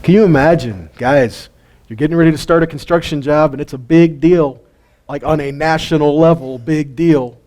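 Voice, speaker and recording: A middle-aged man with a drawl walks through a Bible passage in a relaxed, folksy manner. He keeps asking his listeners questions and chuckles between points. His voice sits a little higher than most men's. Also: moderate pace. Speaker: Bible teacher. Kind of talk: casual Bible teaching.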